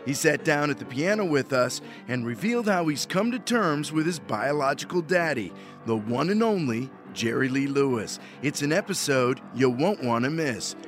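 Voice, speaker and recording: A man's voice: mid-range voice, animated, normal speed, faint music behind. A middle-aged man hosting a podcast, earnest and attentive as he interviews a guest and reads an outro. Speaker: podcast host. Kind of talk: interview talk and scripted outro.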